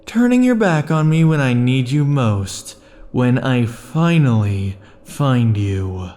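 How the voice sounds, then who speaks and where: A man playing a slow, dark obsessive immortal. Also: medium pitch, expressive. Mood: mocking. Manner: slow, intimate, brooding delivery with sudden furious outbursts. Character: obsessive male immortal, possessive and unstable lover. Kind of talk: ASMR roleplay.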